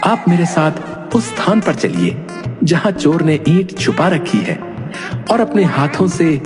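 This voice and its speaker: A man voicing a sly trickster in a cartoon, low and scheming, then fawning to the king. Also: sing-song, measured pace, in a large hall, music in the background. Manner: sly, low and self-satisfied. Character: sly scheming male trickster posing as a seer. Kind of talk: cartoon voice acting.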